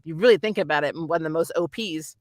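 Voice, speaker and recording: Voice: medium pitch, quick pace, dry recording, studio quality. A reviewer chatting casually and emphatically, like a fan talking through an episode as host of a channel. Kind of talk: casual spoiler review chat.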